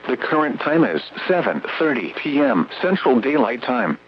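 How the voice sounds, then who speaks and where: Robotic guy reading emergency weather alerts, formal and measured, muffled radio with faint hum. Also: mid-range voice, very animated. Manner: formal, measured synthetic announcer delivery. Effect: weather radio broadcast. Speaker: automated weather radio announcer. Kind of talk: scripted emergency weather bulletin.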